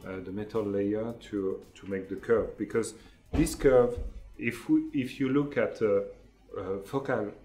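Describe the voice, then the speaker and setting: A man explaining technical details to visitors in a factory, pausing often to search for words. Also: on the low side, dark tone, faint music behind. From France. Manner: halting, frequent uhs, searching for English words. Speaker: company engineer guiding a tour. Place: indoor factory workshop. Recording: roomy echoing workshop.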